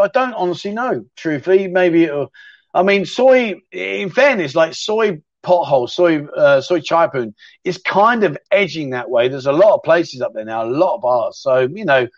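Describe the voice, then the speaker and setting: A man's voice: fairly high pitch, no room sound, lo-fi sound. A middle-aged man, casually hosting a livestream chat show and answering viewer questions in a rambling, matey way.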